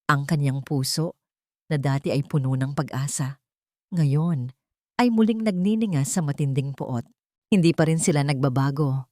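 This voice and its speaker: A woman's voice: deep voice, moderate pace, darkish tone, dry recording, clean studio sound. A woman with a Filipino accent narrating a dramatic scripted story as an audiobook.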